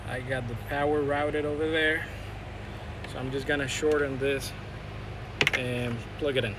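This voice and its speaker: A man's voice: medium pitch, fairly even intonation, measured pace, heavy background noise. A man giving an informal, plain-spoken hands-on walkthrough in a casual vlog style. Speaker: video creator showing a radio install.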